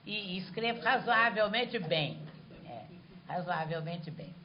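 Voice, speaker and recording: An older woman speaking Brazilian Portuguese, an artist answering questions after a talk, telling lively stories and laughing as she goes. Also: fairly low pitch, measured pace. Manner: lively storyteller, laughs while telling anecdotes. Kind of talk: lecture Q&A, conversational. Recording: muffled lo-fi hall recording with faint noise.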